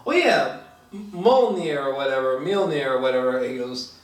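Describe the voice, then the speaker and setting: A man's voice: medium pitch, lively intonation, normal speed, far from the mic. A man in casual, unscripted chat as a film review co-host, searching for words and often trailing off. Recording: echoey room, away from mic.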